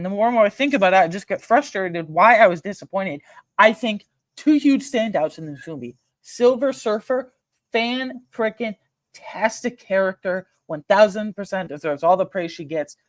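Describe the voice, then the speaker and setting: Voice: medium pitch, lively intonation, moderate pace, no echo. A video host giving casual, rambling film commentary to viewers, emphatic and slangy with frequent asides.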